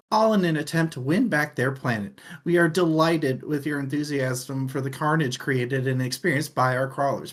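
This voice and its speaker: Man putting on a high, mocking game announcer AI. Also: close to an everyday voice. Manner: exaggerated, booming, taunting delivery. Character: mocking, foul-mouthed game show announcer AI, gleefully sarcastic. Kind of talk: theatrical game announcer parody.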